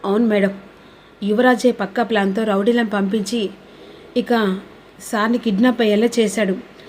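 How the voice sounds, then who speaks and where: A woman's voice: medium pitch, fairly even intonation, moderate pace, darkish tone, slight background noise, lightly acted. A woman voicing a respectful informant who reports emphatically to a boss in a serial recap. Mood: urgent.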